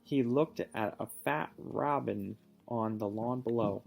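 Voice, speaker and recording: A man reading a storybook aloud and pausing to ask questions in a patient, encouraging manner. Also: fairly low pitch, gravelly, a little mellow, soft background music. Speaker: reading teacher or parent reading aloud.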